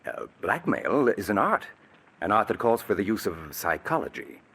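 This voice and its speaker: An actor in a 1940s radio drama playing a scheming male blackmailer, smooth, calculating and menacing, with a husky voice. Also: lowish voice, huge pitch swings, normal speed, fairly bright tone, heavily acted. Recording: old radio broadcast recording.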